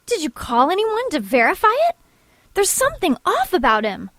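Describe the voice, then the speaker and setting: Woman playing a high-pitched, outspoken nun. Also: wildly swooping pitch, normal speed, fairly bright tone, no echo, clean studio sound, heavily acted. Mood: protesting. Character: earnest, alarmed, outspoken young nun. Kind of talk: audio drama acting.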